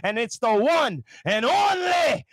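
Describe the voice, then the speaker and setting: A man with a high voice hosts a casual podcast chat. He talks in excitable street slang and likes to repeat his words. The sound is close and dry. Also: slow pace, slightly rough, dry recording. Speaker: podcast host.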